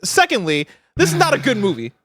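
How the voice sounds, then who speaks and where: A man co-hosting a casual video game panel, talking in an animated, opinionated way. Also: sits high, fairly quick, husky. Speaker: co-host on a video game talk show.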